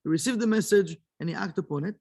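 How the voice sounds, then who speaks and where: A man's voice: high-pitched, fast talker, gravelly, darkish tone. A man teaching a kabbalah study lesson, earnest and repeating phrases for emphasis. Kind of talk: early morning study lesson.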